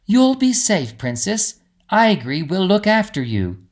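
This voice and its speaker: A man's voice: high-pitched, very animated, unhurried, pitched above the performer's own voice. A man voicing a devoted winged female protector in a storybook, speaking in a tender, earnest, solemn way.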